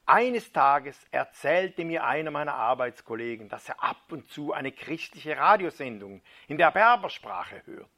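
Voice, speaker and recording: Male preacher, slightly higher pitch, crisp bright tone; dramatic storytelling read with whispered hushes. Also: very animated, unhurried, hardly any pauses. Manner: dramatic reading, dropping to a hushed near-whisper at key moments. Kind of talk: sermon retelling a first-person testimony.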